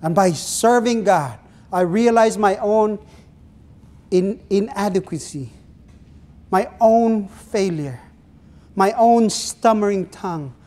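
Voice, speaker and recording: A man's voice: high-pitched, darkish tone. A middle-aged man from the Philippines with a Filipino accent, preaching an earnest sermon from a pulpit in a small church and putting questions to his listeners. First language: Ilonggo. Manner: earnest preaching, drawn-out phrases, asks the congregation questions. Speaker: pastor.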